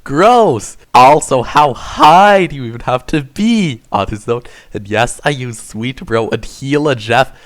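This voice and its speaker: A man's voice: mid-range voice, animated, a little slow, few pauses. A man reading comedic fan fiction as narrator, in a melodramatic, emphatic, mock-serious way.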